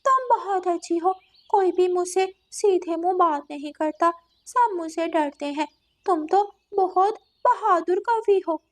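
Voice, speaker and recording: Woman voicing a sly, high-pitched female cat villain. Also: a little slow. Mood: flattering, coaxing. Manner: wheedling, punctuated with meows. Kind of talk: children's animated story dialogue.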